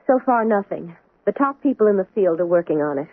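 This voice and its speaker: A woman's voice: medium pitch, lively intonation, brisk, no room sound, natural voice. A woman playing an earnest, idealistic doctor. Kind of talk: 1970s radio drama acting. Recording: tinny dry vintage radio recording.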